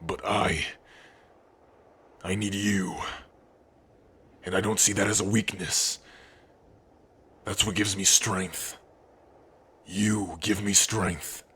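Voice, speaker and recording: A man playing a big, devoted, protective orc husband in an intimate ASMR roleplay, speaking tenderly in a husky, breathy voice with sighs and pauses. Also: sits low, fairly even intonation, sharp tone, slight background noise, full character voice. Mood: loving, protective. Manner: slow, tender, sighing, with pauses.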